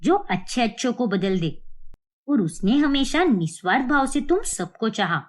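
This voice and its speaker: A woman's voice: a little high, big pitch swings, measured pace. Woman acting a feisty, scolding old grandmother. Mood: triumphant scolding. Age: older. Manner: scolding, emphatic. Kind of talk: Hindi cartoon moral story acting.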